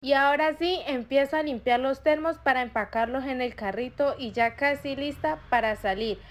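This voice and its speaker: Young woman, chatty upbeat voice-over about her day, high voice, faint music under it. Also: moderate pace. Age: young adult. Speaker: vlogger.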